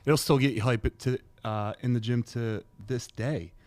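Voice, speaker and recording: A man's voice: mid-range voice, fairly even intonation, measured pace, a little mellow, no echo. A man co-hosting a podcast, giving his opinions in a casual, blunt, plain-spoken way. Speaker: podcast co-host.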